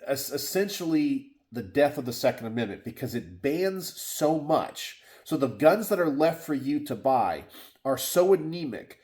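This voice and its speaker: A man's voice: medium pitch, sing-song, moderate pace, darkish tone. A middle-aged man from Texas, hosting his gun channel in a casual solo chat from his garage. He sounds stuffed up and sniffly and rambles, with many fillers and restarts. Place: home garage.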